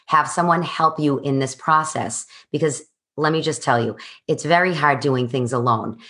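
A woman's voice: rumbling low, fairly bright tone. A woman in her forties, a fitness coach, speaking in an emphatic, encouraging way. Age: 40s. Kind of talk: motivational interview answers.

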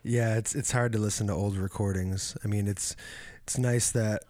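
A man hosting a casual interview, chatting in a relaxed, meandering way. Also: fairly low pitch, normal speed, slight background noise. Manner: relaxed, chatty, drifting with fillers. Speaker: podcast host.

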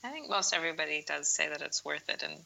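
A woman's voice: low-pitched, animated, fast talker, creaky, sharp tone, rough recording. A woman from South Africa working in the wool industry, asking questions in a rambling, casual way. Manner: rambling, restarts phrases. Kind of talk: casual interview chat.

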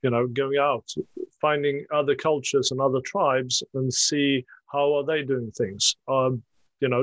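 A man hosting a reflective discussion, speaking in a thoughtful, measured way with pauses and fillers. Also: mid-range voice, no echo, low-quality recording. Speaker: host.

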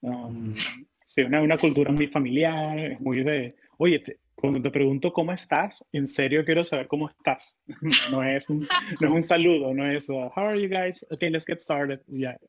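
A man hosts a podcast interview in an easy, conversational way, often restarting his sentences. His voice sits a little high for a man. He comes across as a chatty Latin American host. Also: normal speed. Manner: relaxed, chatty, with frequent restarts.

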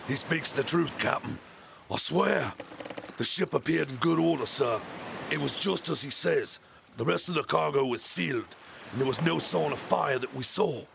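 An actor playing a superstitious, frightened sailor in an audio drama, earnest and insistent. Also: male voice, on the high side, normal speed, creaky, background noise. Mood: earnest, haunted. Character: spooked, superstitious male sailor.

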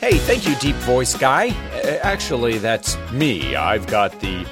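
A male talk show host with a cold, giving upbeat, energetic tips on deals and savings. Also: slow, over music. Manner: upbeat, energetic pitchman delivery. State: has a cold. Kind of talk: radio-style talk show.

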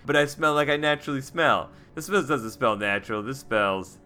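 A man's voice: medium pitch, normal speed, pushed and bright, clean tone, soft background music. A man improvising casually as a player in a tabletop game, jokey and slangy. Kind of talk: casual improvised role-play.

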